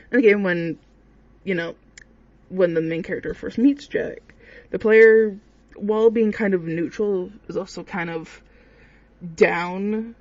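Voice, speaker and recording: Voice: mid-range voice, dry recording. A person giving casual, hesitant, rambling commentary on horror games in an informal way. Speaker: video creator, commentator. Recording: dull lo-fi microphone sound.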